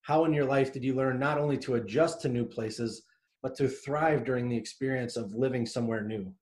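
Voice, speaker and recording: A man's voice: mid-range voice, brisk, a little mellow. A man hosting a podcast, reading a scripted introduction and then asking the guest questions in an upbeat, encouraging way. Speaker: podcast host. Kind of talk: interview hosting, read introduction.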